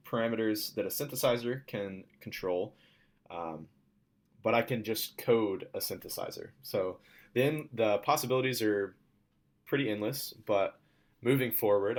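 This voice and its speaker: A young adult man presenting as a student, walking through a software demo in an informal, explanatory way. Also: lowish voice. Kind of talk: casual software demo walkthrough.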